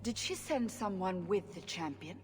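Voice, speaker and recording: A commanding female interrogator voiced by an actress in a fantasy game speaks tersely and sceptically. Her mid-pitched voice rings with long reverb. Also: lively intonation, moderate pace, lightly acted. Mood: sceptical, demanding. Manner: terse, demanding. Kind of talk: fantasy video game acting. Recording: long echoing hall reverb.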